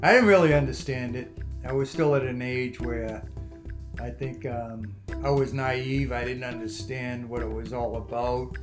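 A man, reminiscing as an interview guest in halting, reflective phrases. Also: medium pitch, fairly even intonation. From South Boston, USA. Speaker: interview guest, former union president. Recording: music playing behind the voice.

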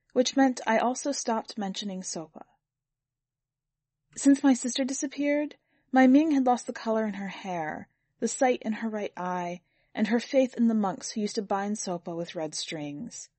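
A woman's voice: on the high side, a little mellow, no room sound. A woman narrating a first-person literary short story from a script. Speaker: podcast editor and story reader.

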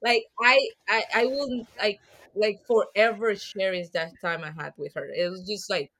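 A woman from Colombia, a podcast guest telling stories in a lively way and mixing Spanish and English. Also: medium pitch, normal speed. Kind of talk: casual interview chat.

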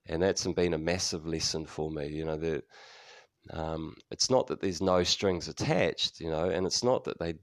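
A man's voice: deep voice, clear, resonant tone, no room sound, clean studio sound. A New Zealand man in his fifties hosting a podcast, talking candidly in a rambling way, with many fillers and restarts and frequent swearing. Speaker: podcast host. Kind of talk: casual solo podcast monologue.

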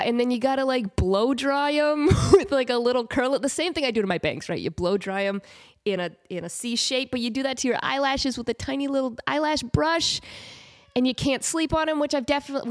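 Nasal, stuffed-up woman chatting animatedly. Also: high voice. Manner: animated, laughs often, acts out quotes. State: stuffed-up nose. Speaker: podcast host. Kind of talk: casual chat.

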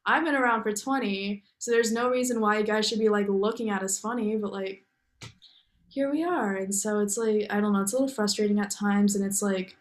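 A woman's voice: mid-range voice, steady tone, fairly quick. A young American woman talking in a casual interview, rambling and filling her speech with "like" and "you know.". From United States. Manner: rambling, heavy use of "like". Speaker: guest.

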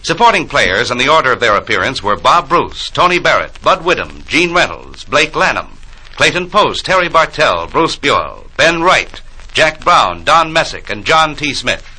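Male American announcer for 1940s radio credits: formal, measured, strikingly forceful and bright, mid-pitched. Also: big pitch swings, husky, low-quality recording. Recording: 1940s radio broadcast recording.

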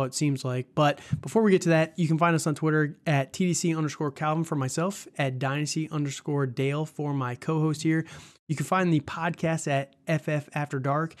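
A man with a cold, sounding stuffed up, hosting a casual sports podcast in a rambling, chatty way and laughing often. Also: a little high. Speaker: podcast host.

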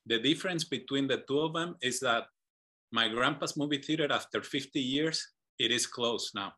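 A man from Mexico speaks over a video call, recounting how he came to found a business association. His tone is bright and earnest, and he repeats words as he reaches for the right phrasing. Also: mid-range voice, normal speed. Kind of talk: online meetup guest telling his story.

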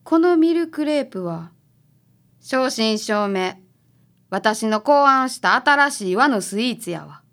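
A radio drama shopkeeper acted by a woman, a bright, assertive woman of about thirty. Her voice is fairly high and carries a Kansai accent. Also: expressive, slow, heavily acted. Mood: accusing.

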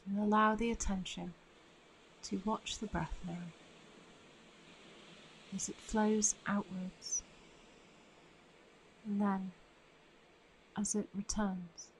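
Slow, even-toned woman guiding meditation. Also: medium pitch, slight background noise, poor audio. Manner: soothing, unhurried with long pauses. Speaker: meditation guide. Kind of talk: guided meditation narration.

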